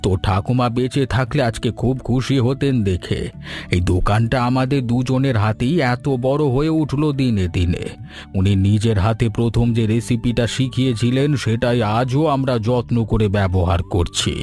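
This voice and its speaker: A man's voice: medium pitch, moderate pace, a little mellow, natural voice. Man acting caring old grandfather over music. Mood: affectionate, reassuring. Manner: affectionate, advising. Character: caring, proud old grandfather who runs a paratha shop. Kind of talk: animated storybook cartoon dialogue. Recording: music bed under the voice.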